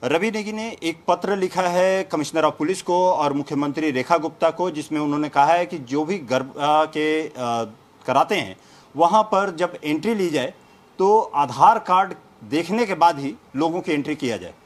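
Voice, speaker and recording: A man's voice: on the high side, normal speed. A man working as a news reporter, introducing and questioning an interviewee.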